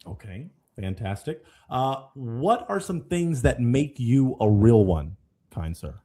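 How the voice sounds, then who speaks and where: Male host for a live dating show, playful, husky edge to the voice. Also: medium pitch, slow pace. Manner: playful, animated, addresses guests as kind sir.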